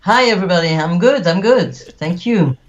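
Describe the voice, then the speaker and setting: A man's voice: high voice, lively intonation, normal speed, muffled recording. A middle-aged man with a Belgian French accent, a guest actor chatting in an interview, rambling with many fillers and restarts. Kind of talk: interview, casual chat.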